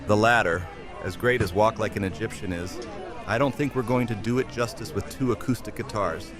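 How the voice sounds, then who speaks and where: A man's voice: on the low side, fairly quick, music in the background, natural voice. A man acting an enthusiastic, theatrical amateur musician in an audio drama. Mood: easygoing.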